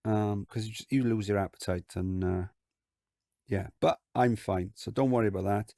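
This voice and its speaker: A man with a croaky voice, hosting a casual game commentary and rambling with lots of filler words. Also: on the low side, fairly even intonation, moderate pace, mellow, dry recording, studio quality. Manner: rambling, lots of ums and likes. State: croaky voice from illness. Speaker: gaming channel host. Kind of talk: casual let's-play commentary.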